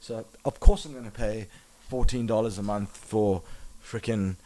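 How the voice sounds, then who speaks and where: A man's voice: lowish voice, steady tone, moderate pace, warm tone, rough recording. A man with a South African accent hosting a podcast in casual, swearing chat. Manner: chatty, swears casually, enthusiastic. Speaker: podcast host.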